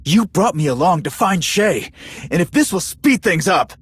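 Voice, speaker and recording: An actor playing a tough, hot-headed warrior in a video game, speaking in a blunt, threatening way. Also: male voice, high-pitched, lively intonation, normal speed, slightly rough, no echo. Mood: frustrated.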